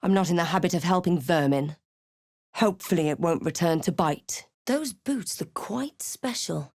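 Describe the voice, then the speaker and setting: A woman playing a wry, watchful adventurer in a fantasy video game, delivering measured, understated dramatic narration and short remarks. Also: on the low side, lively intonation, a little mellow, no echo, studio quality. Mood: wry, brooding. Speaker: player-character voice actor.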